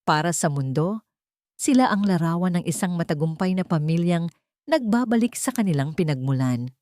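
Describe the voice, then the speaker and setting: A woman's voice: lowish voice, animated, moderate pace, darkish tone. A woman with a Filipino accent narrating a scripted dramatic story.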